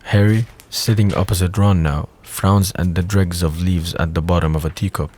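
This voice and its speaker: A man with a notably deep voice serves as narrator of an audio drama. He reads scripted scene descriptions and stage directions between the dialogue. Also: fairly even intonation, normal speed, a little mellow, slight background noise.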